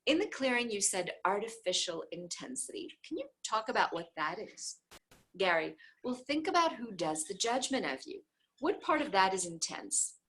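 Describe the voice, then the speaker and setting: A woman reading a dialogue book aloud, speaker labels included, with repeated phrases in an even, steady cadence. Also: lowish voice, slightly rough, poor audio. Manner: occasional soft offhand asides.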